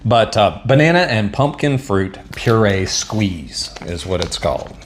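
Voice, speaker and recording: Southern man for a casual food-review vlog, easygoing and self-deprecating, slightly low voice. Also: expressive, measured pace, background noise. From Southern United States. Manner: rambling, chatty, self-deprecating, frequent um and uh. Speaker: MRE taste-test vlogger. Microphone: mic set up at a table.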